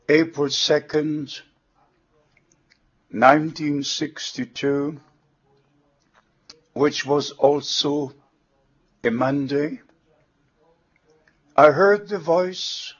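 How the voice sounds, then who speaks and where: A man with a German accent, a native German speaker, preaching a sermon in slow, measured, deliberate short phrases. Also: fairly high pitch. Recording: dull lo-fi sermon recording.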